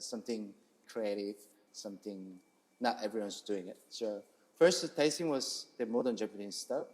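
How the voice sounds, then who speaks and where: Man, Korean accent, fairly low pitch, hesitant phrasing, casual interview storytelling. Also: darkish tone. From South Korea. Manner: hesitant, halting, non-native English phrasing. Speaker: chef, interview guest.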